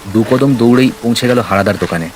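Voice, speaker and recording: A man's voice: medium pitch, quick pace, a little hiss or hum. A man reading a scripted horror story as narrator, in storytelling style. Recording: rain and ambience behind the voice. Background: rain sound effects.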